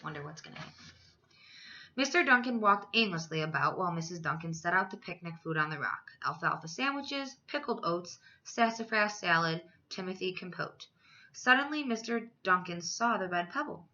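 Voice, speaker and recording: A woman's voice: fairly low pitch, expressive, moderate pace, pushed and bright, lo-fi sound. A woman reading a children's story aloud as a teacher, expressively, with dramatic emphasis for young listeners.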